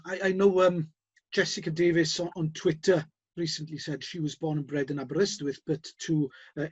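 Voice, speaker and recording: A man with a slightly high, husky voice hosts a discussion, nudging his guests along with hesitant, filler-laden questions. His voice comes through dry and a little dull, with no room around it. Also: no echo. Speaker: host. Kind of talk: interview discussion.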